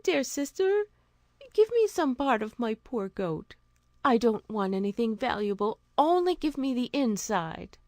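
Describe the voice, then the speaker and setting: A woman voicing a gentle, sorrowful little girl from a storybook, pleading and plaintive. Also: fairly high pitch, sing-song, a little slow, no room sound, pitched above the performer's own voice. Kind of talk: storybook character voice.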